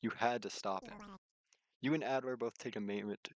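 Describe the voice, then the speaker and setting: A man's voice: medium pitch, fairly even intonation, moderate pace, no room sound. A man reading a visual novel aloud as a streamer, mixing narration with casual commentary.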